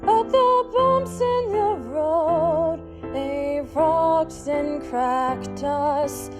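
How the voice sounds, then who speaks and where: A woman playing a disillusioned wife, singing wistfully of lost love in drawn-out musical theatre style. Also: high voice, loud music behind the voice. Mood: wistful, mournful.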